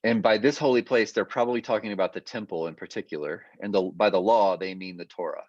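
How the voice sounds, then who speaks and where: Male lecturer, lower voice, thinking aloud with lots of fillers; dry, muffled video-call audio. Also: fast, darkish tone, no echo. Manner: explanatory, hesitant with frequent fillers. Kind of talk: adult education lecture. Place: remote session over video call.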